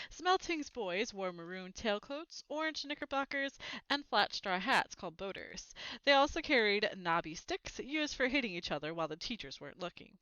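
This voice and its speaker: A woman's voice: mid-range voice, sharp tone, no room sound, highs cut off. A woman reading a storybook aloud as narrator, expressively.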